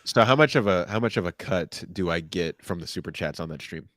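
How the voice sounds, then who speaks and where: Low-voiced man bantering casually. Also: lively intonation, fairly quick, fairly bright tone. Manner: playful, slangy. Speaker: guest co-host.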